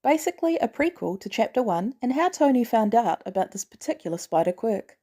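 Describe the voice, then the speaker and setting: Expressive woman narrator with lively pitch. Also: brisk. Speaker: podfic narrator. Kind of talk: expressive scripted story narration.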